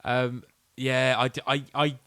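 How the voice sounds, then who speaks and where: A man's voice: mid-range voice, flat delivery, unhurried, creaky, sharp tone, smooth, no room sound, clean studio sound. A British man co-hosting a podcast in casual comedic chat, swearing and joking in a mocking way. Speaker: podcast co-host.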